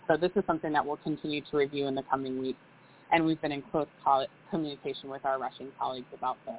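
Woman giving a charity update, measured, tinny line with a little hiss. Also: very deep voice, fairly even intonation. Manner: measured, repeats words while rephrasing. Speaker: charity vice president. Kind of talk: prepared update on a podcast.

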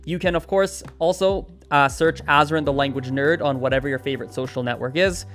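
A man's voice: fairly high pitch, a little fast, fairly bright tone, soft background music. Upbeat chatty male podcast host. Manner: upbeat, chatty, rambling with restarts. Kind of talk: solo podcast advice talk.

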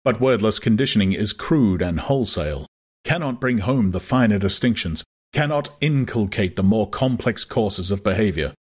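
A man narrating a dramatized audiobook in a measured, literary manner. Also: on the low side, animated, darkish tone, breathy edge.

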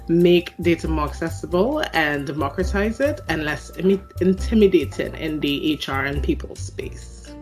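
Guest, female, Trinidadian accent, low-pitched, articulate and good-humored, faint music behind. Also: lively intonation, normal speed, few pauses, a little mellow. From Trinidad and Tobago. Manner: articulate, laughs easily. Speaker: guest, people analytics expert. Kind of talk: interview answers.

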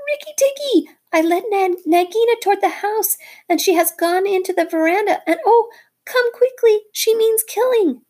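A woman voicing a panicked female bird, shrieking loud urgent warnings. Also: extremely high pitch, lively intonation, moderate pace, voice pushed up. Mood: frantic. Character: frantic female songbird, panicked and shrill.

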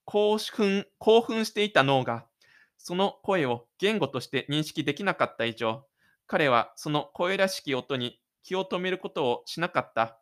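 A man's voice: a little high, expressive, unhurried, a bit bright. A man reading scripted literary narration for an audio drama.

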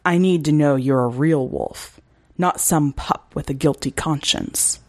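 A woman reading a story and voicing a big, predatory male wolf who threatens and commands in a low growl. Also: a little slow, a little mellow, no room sound. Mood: cold, demanding. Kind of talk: dramatic short story reading.

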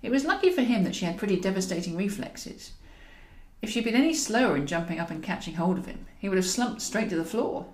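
A woman reading a comic romantic novel aloud in an expressive storytelling style, as the author of the book. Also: mid-range voice, fast talker, roomy sound. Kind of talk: expressive audiobook narration of comic romantic fiction.

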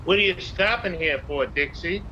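High-voiced menacing tough guy acted by a man. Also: moderate pace, bright, forceful tone, highs cut off, slight background noise. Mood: menacing sneer. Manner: sarcastic, threatening. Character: menacing male tough guy, sneering and bullying. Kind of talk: old time radio drama acting.

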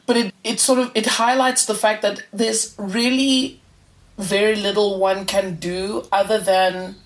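A woman's voice: medium pitch, fairly even intonation, unhurried. A woman hosting a casual podcast conversation, hesitant, often restarting and repeating words. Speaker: podcast host.

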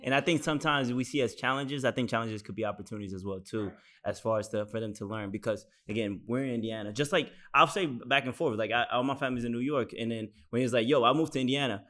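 A man's voice: medium pitch, fast talker, hardly any pauses, a bit bright. A man co-hosting a casual panel discussion in a laid-back, conversational way, often asking "you know what I mean".

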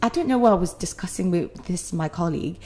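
A woman's voice: fairly low pitch, fast talker, a little mellow. Playful young woman co-host, neutral British accent with Nigerian roots. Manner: playful, chatty, laughs between points. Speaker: podcast co-host. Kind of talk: casual chat.

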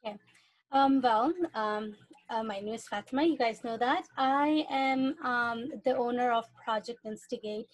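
A woman's voice: a little high, measured pace, no room sound, poor audio. Woman guest speaking in a dry room. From Iran. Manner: thoughtful, with frequent fillers. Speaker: podcast guest. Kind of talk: casual interview talk.

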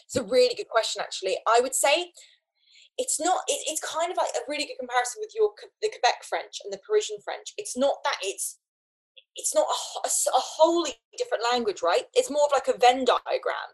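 A young woman in casual conversation, animated, often restarting her sentences and laughing between thoughts. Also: sits high, a little fast, rough recording. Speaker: guest.

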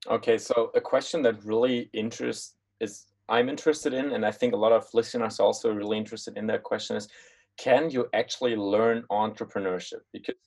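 A man's voice: on the low side, fairly even intonation, brisk, a little mellow. A young man hosting a podcast, asking long, rambling questions full of restarts and fillers. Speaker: podcast host. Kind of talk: casual interview hosting.

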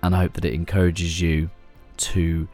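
Low-voiced podcast host. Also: male voice, level tone, moderate pace, a little mellow, soft background music. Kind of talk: scripted intro, then casual interview.

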